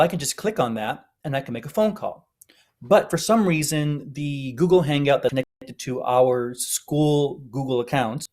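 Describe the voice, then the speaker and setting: A man's voice: mid-range voice, darkish tone. A man giving an informal, step-by-step tutorial narration as an instructor. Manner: explanatory, step-by-step.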